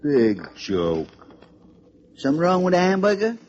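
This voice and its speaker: An actor playing a teasing, streetwise lunch-wagon cook in a 1950s radio play, full of gruff, sardonic banter. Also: male voice, high-pitched, huge pitch swings, unhurried, a little mellow, heavily acted. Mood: needling. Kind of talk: 1950s American radio acting. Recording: lo-fi old radio recording, slight noise.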